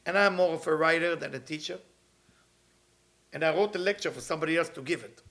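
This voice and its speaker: Man in his 50s, slightly high voice, earnest teacher who repeats himself. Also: fast talker, gravelly, a bit bright. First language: Hebrew. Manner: earnest, repeats phrases, non-native grammar. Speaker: kabbalah teacher. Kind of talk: weekly spiritual talk.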